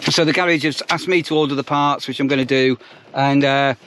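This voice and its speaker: A man's voice: medium pitch, steady tone, a little fast, fairly bright tone. A man with an English accent talking casually in a rambling way, as if narrating his day for a vlog. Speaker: vlogger. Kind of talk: casual vlog chat to camera.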